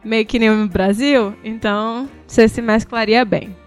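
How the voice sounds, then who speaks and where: A woman's voice: fairly high pitch, fairly even intonation, measured pace, faint music behind. A woman with a Brazilian accent talking informally and expressively as a podcast host in a group chat. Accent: Brazilian Portuguese.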